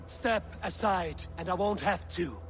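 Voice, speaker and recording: A man's voice: high voice, unhurried. An actor playing a grave, authoritative Norse god-king, stern and wary, in a video game cinematic. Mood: angry.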